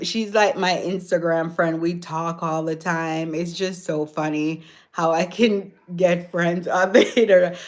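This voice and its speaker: A Jamaican woman hosting a casual livestream, chatting with viewers, answering their comments and laughing often. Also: on the low side. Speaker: livestream host. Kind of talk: casual live-stream chat with viewers.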